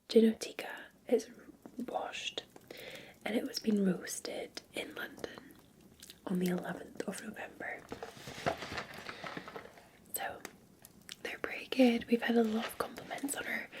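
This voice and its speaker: A woman with a Scottish accent whispers in a breathy, lightly high voice. She speaks gently and soothingly in an ASMR roleplay, as a café barista chatting one-to-one with a customer. The delivery is intimate and unhurried. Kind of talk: ASMR roleplay, softly talking to the listener as a customer.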